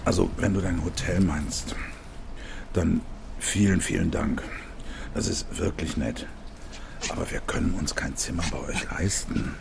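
An actor playing a gentle, modest, grateful older homeless man in a children's radio play. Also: sits low, measured pace, gravelly, long pauses, slight background noise, exaggerated voice.

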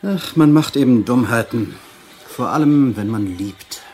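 An actor playing a smooth-talking, self-excusing swindler and ladies' man in a radio crime drama. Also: medium pitch, a little slow, darkish tone, faint music behind, natural voice. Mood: rueful, self-excusing.

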